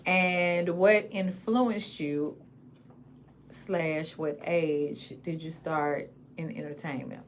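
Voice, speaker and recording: A woman's voice: on the low side, a little hiss or hum. A woman hosting a casual livestream interview, reading viewer comments and asking questions in a chatty way. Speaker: livestream host.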